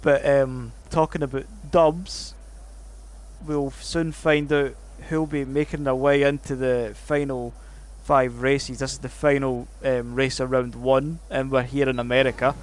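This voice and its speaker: A Scottish man hosting live sim racing commentary. He talks in a loose, rambling way, full of ums and restarts, at a middle pitch. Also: flat delivery, unhurried. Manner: chatty, lots of fillers and restarts. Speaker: race commentator.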